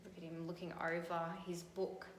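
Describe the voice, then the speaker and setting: An Australian woman teacher reading a picture book aloud and gently pointing out details in the pictures. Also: lowish voice, flat delivery, moderate pace. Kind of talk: storybook read-aloud with commentary on pictures. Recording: echoey room, faint background noise.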